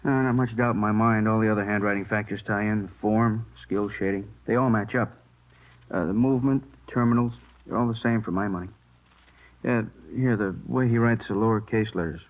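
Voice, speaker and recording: An American man playing a methodical police handwriting expert, explaining haltingly and checking that he is followed, in 1950s radio drama style. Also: fairly low pitch, fairly even intonation, lightly acted. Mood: absorbed, matter-of-fact. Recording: tinny old radio recording.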